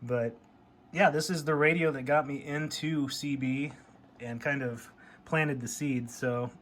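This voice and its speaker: A middle-aged American man talks in an easy, rambling show-and-tell way. His voice sits at an ordinary pitch with a nostalgic manner, and there is light noise in the background. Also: steady tone, a little slow, a bit bright. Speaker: hobbyist vlogger showing a CB radio. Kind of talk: casual show-and-tell chat.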